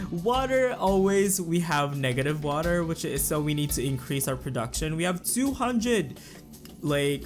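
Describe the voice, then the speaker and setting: A man with a slightly raspy, fairly high voice talks casually through a game he is playing, thinking aloud and restarting his sentences. Faint background music plays beneath his voice. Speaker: let's play host. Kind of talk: casual gameplay commentary.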